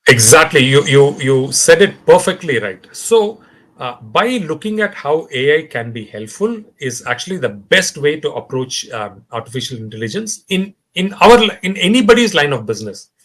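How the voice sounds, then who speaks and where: A man with an Indian English accent, speaking as a podcast guest in a conversational interview, animated and full of fillers and restarts. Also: a little high, normal speed.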